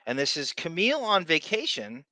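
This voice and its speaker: A male podcast host with a bright, forceful voice in a dry, close sound. He chats casually and rambles, doubling back on phrases and hopping between asides. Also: on the high side, big pitch swings, moderate pace, no room sound. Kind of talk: casual live chat show.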